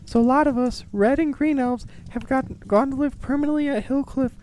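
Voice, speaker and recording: A man voicing a busy little elf secretary, chatty and earnest, in a put-on high voice for a storybook letter. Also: a little fast, darkish tone, a little hiss or hum. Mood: earnest, newsy.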